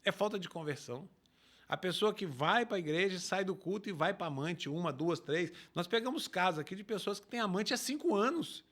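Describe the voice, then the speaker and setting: Man, pastor, emphatic, high voice, very animated pitch. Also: fairly quick. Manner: emphatic, lively preacher explaining scripture. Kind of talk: preaching in a talk show.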